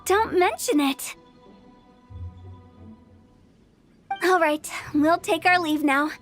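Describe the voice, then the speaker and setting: A very high-voiced, quirky young woman, breezy and teasing with theatrical flair. It is video game acting with soft music underneath. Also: unhurried. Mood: breezy, friendly. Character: playful, quirky young female funeral parlor director.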